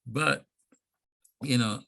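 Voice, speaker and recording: Male guest, casual storyteller, gravelly bright tone, video-call sound. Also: mid-range voice, very slow. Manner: laughing, storytelling, playful. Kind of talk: casual chat. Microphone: video call mic.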